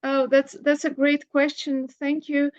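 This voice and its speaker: A woman's voice: sits high, monotone, unhurried, no echo, lo-fi sound. A middle-aged woman, a lecturer answering audience questions, hesitant with many fillers and restarts. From Bulgaria. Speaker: guest lecturer. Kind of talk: academic Q&A answer.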